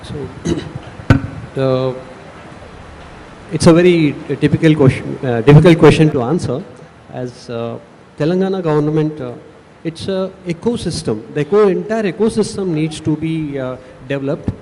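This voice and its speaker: A man's voice: fairly high pitch, normal speed, mellow. A man with an Indian English accent speaking on a panel, hesitant with many fillers and restarts. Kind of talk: panel discussion. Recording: echoey hall, faint noise.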